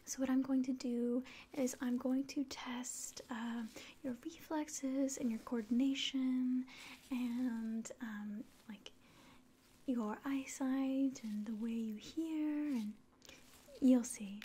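A woman in her twenties doing a whispered ASMR roleplay in a soft, breathy, gentle and reassuring voice, close to the mic. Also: high-pitched, steady tone, slow pace. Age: 20s. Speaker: ASMR performer playing an alien medical examiner. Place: small indoor room.

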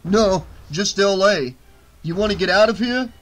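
Man doing a loud, rumbling, gruff bouncer. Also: measured pace, low-quality recording. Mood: blunt. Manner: rumbling, blunt. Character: gruff dead male bouncer, officious and stubborn.

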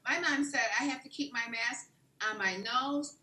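An earnest little puppet child, voiced by a woman, talks simply in a high, bright voice. The room on the video call sounds echoey. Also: normal speed.

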